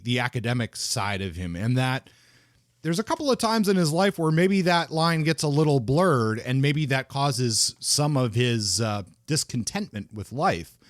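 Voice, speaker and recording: A man co-hosting a book podcast, mid-pitched and recorded close and dry with no room sound. He talks in a casual, thoughtful way, often stopping to restart a phrase. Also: no room sound. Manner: thoughtful, halting, with frequent restarts and fillers. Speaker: podcast co-host.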